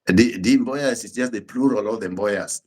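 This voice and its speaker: A middle-aged Kenyan man whose first language is Luo, talking casually as a guest musician and pastor in simple, unhurried sentences that often restart. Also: lowish voice, a little mellow. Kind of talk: casual interview chat.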